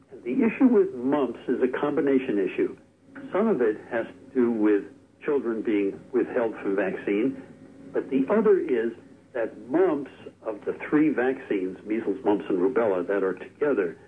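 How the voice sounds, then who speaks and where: Man on a tinny phone line, calm doctor explaining stuff, even middle pitch. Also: a little hiss or hum. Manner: measured, explanatory. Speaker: guest vaccine expert on phone. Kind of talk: explaining on a call-in radio show. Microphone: telephone.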